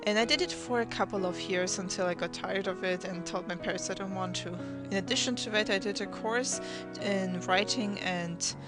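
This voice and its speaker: A woman's voice: mid-range voice, moderate pace. A teenage girl from Russia, a native Russian speaker, narrating her life story in a hesitant way with many restarts. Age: teens. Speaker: channel host. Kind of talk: draw my life voice-over narration. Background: music.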